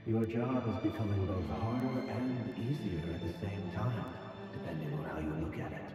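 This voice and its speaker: A man playing a taunting, insinuating figure in a video game, coolly mocking and measured, drenched in reverb. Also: on the low side. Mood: mocking, cold. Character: taunting, insinuating male voice haunting a guilty operative. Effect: heavy reverb. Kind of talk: dramatic video game acting. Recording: cavernous echo, distant, music behind.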